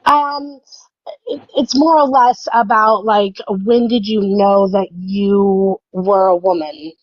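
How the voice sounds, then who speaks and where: A woman's voice: mid-range voice, steady tone, slow pace, no room sound, muffled recording. A woman in her thirties calling in to a livestream, earnest and hesitant, with many ums. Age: 30s. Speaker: caller. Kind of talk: casual call-in chat.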